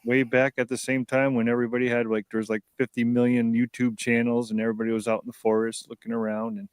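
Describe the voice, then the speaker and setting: A man's voice: mid-range voice, little pitch movement, fast, clear, resonant tone, dry recording. A man in his fifties hosting a casual talk show, rambling and often repeating words as he starts his sentences. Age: 50s. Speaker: show host. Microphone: online video call.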